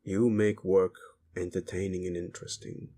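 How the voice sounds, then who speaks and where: A man playing a gruff, sleazy werewolf boss with a hidden soft side, talking rough slang in an intimate, halting audio drama style. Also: sits low, level tone. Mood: gruff, flirtatious.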